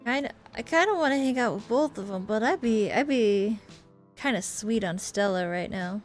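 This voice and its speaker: A woman with a fairly high voice plays through a game and talks as she goes. She reads the text aloud casually and drops in expressive, chatty asides. Music plays clearly behind her. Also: moderate pace. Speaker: video game streamer.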